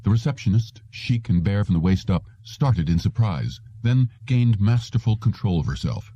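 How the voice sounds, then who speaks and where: A man's voice: fairly low pitch, animated, moderate pace, dark tone, no room sound, muffled recording. A man reading a science fiction story aloud as scripted narration. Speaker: audiobook narrator.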